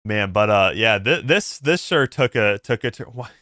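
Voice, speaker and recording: Bright-voiced man, halting streamer. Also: on the low side, lively intonation, no echo, clean studio sound. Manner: halting, repeats words and breaks off mid-sentence. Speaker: livestream host. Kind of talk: casual reaction stream commentary.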